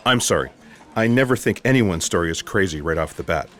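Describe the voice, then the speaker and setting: Low-voiced, deadpan male agent played by an actor. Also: a little fast, a little hiss or hum. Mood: earnest. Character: earnest, courteous, awkwardly frank male special agent. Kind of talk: comedic sci-fi audio theatre acting.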